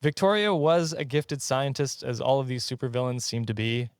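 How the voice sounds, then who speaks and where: A man's voice: medium pitch, steady tone, normal speed, dry recording, clean studio sound. A man hosting a podcast in casual, comedic chat, joking and chuckling as he goes. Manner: jokey, chuckling often. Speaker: podcast host.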